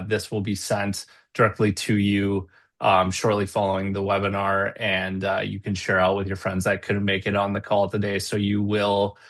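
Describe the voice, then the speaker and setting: A man's voice: fairly low pitch, a bit bright. A man hosting a webinar over a video call, chatty and upbeat with plenty of fillers. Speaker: webinar host.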